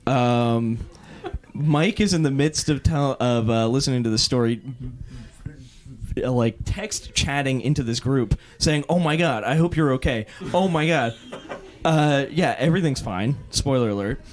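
A man's voice: mid-range voice, a little slow. A young man at a party, telling a rambling story to friends with lots of fillers and laughter. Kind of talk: casual party chat.

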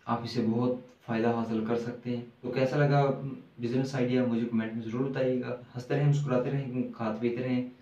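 A man's voice: medium pitch, mellow. Young man on a dull, roomy lapel mic. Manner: repeats "theek hai" as a filler, gestures with his hands. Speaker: business video host. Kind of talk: casual explainer to camera. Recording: roomy, distant, dull lo-fi sound.

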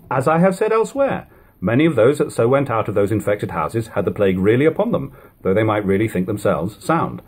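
A man reads an old literary narrative aloud in a measured, formal, dramatic way at home. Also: on the low side, a little mellow, husky. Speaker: reader. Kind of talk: audiobook-style reading of an old literary narrative. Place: living room at home. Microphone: webcam or device camera at about arm's length.

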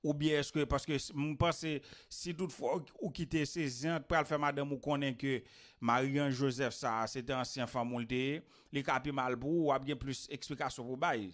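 Haitian guy hosting, thirties, lots of ehs, mid pitch. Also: fairly even intonation, normal speed. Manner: hesitant questions full of fillers. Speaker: host. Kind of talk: interview questioning.

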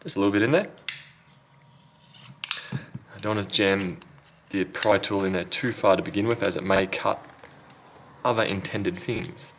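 A man's voice: on the low side, normal speed, long pauses, background noise. A man talking casually and matter-of-factly through a hands-on repair tutorial, step by step, as a technician working at a bench. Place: indoor repair workbench.

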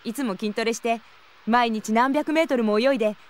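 A woman's voice: fairly high pitch, fairly quick, lightly acted. A woman playing a frank, sporty young swimmer, speaking in a casual, slightly tomboyish way in an audio drama. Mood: friendly, frank. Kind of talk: Japanese audio drama acting.

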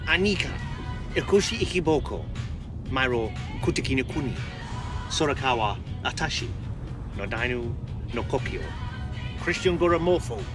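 An actor playing a boastful, touchy middle-aged fishmonger, talking pompously in a small car. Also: male voice, medium pitch, expressive, moderate pace, pauses often, music in the background, lightly acted. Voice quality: pompous, self-important.

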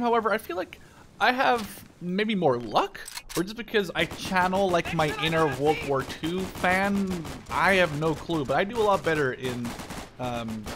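A man in his twenties giving casual gameplay commentary, excitable and rambling, with frequent swearing. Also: on the high side. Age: 20s. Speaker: video game streamer. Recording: gunfire and game noise behind voice. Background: video game gunfire.

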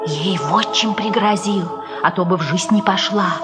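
A woman's voice: mid-range voice, moderate pace, over loud music, close to an everyday voice. Gossipy young village girl played by an actress. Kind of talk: Soviet radio play acting.

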